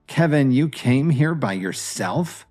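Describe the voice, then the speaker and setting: A man's voice: mid-range voice, animated, takes it slowly, darkish tone, soft background music. A man voicing a snobby, taunting woman in an audio drama. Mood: haughty, mocking. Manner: taunting, haughty. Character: snobby, mocking young woman, wife's friend.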